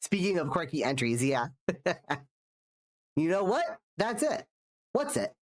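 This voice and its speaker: A man's voice: on the high side, wildly swooping pitch, unhurried. A man live-streaming while drawing, chatting casually and rambling, restarting his sentences, sounding nasal from a cold. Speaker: streamer and artist.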